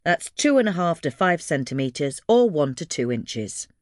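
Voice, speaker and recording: A woman reading a scripted instructional guide in a measured, clearly enunciated way. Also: low voice, big pitch swings, no room sound, clean studio sound. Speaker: reader of a gardening guide.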